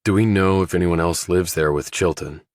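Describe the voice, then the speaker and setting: A man's voice: deep voice, normal speed, no room sound, studio quality, close to an everyday voice. A man voicing a guarded, terse ex-soldier working undercover, in understated audiobook dialogue. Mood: questioning.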